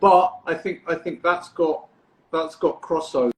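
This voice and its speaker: A man from the UK, a freediving coach, hosting a casual podcast interview in a relaxed, encouraging way, often repeating words as he starts a thought. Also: fairly high pitch, unhurried, reverberant. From United Kingdom.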